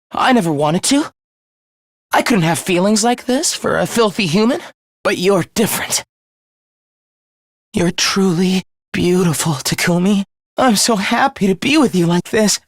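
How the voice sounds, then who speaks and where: A man's voice: sits high, no echo, studio quality, heavily acted. An actor voicing an obsessive young man in a video game, devoted to his comrade as to a god, with theatrical, intense, florid delivery. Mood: blissful adoration. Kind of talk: English video game voice acting, dramatic monologue.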